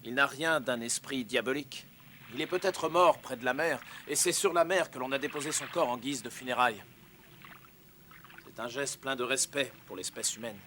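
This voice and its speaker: A man voicing an earnest, brave young ship's navigator in a French cartoon dub, declaiming his lines clearly. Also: medium pitch, moderate pace, pushed and bright, slight background noise, lightly acted. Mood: thoughtful.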